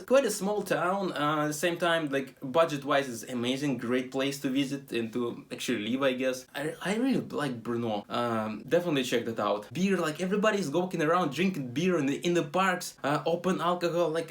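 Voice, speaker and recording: A young man with a Russian accent, a travel vlogger talking casually to camera, rambling with lots of fillers and restarts. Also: medium pitch.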